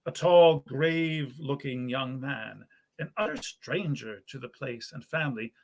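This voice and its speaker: A man, an actor, reading a nineteenth-century story aloud in an expressive, genteel storytelling style. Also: medium pitch, unhurried, a little hiss or hum. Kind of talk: theatrical storytelling of 19th-century literature. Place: period parlor in a historic house.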